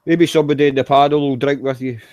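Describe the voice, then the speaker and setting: A man chatting in a relaxed way in an online group, laughing easily. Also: medium pitch, quick pace, no room sound, muffled recording. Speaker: livestream chat participant. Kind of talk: casual group chat.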